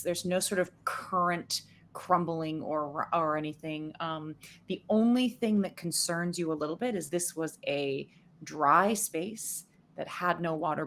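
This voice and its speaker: Low-voiced woman game master. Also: fairly bright tone, a little hiss or hum. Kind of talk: improvised tabletop game narration.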